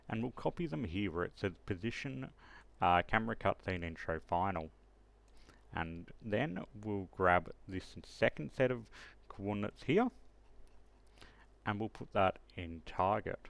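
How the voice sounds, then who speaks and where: A man's voice: on the low side, vocal fry. A man narrating an unscripted step-by-step screen tutorial, instructing and thinking aloud as he works. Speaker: tutorial host.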